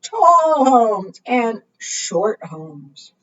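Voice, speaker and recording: A woman's voice: fairly high pitch, big pitch swings, very slow, fairly bright tone. A woman reading aloud to young children as a storytime leader, in a sing-song way, often putting questions to her listeners. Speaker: librarian leading preschool storytime. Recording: muffled, distant microphone.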